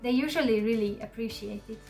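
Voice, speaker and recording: A woman whose first language is Hungarian talks casually to camera in English as a channel host, relaxed and chatty with soft fillers. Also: fairly high pitch, brisk, faint music behind.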